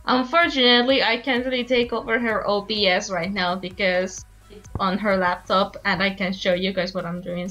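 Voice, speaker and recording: A woman's voice: a little high, pushed and bright, low-quality recording. A young woman co-hosting a drawing stream, chatting casually on a call and laughing and teasing often. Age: young adult. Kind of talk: casual chat.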